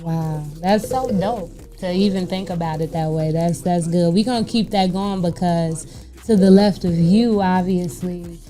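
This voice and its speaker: A woman's voice: on the low side, measured pace, dark tone. A woman hosting a talk show, introducing guests in an upbeat, playful way. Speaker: host.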